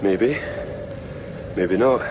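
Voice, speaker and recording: A man's voice: fairly low pitch, very slow, highs cut off, noisy background. A man playing a grim, terse, commanding member of a polar research station in an audio drama. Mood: flat, cold.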